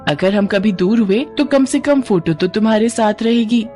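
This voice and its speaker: A woman's voice: normal speed, faint music behind, lightly acted. A woman doing a cheerful, playful little girl. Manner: high, lively and warm-hearted.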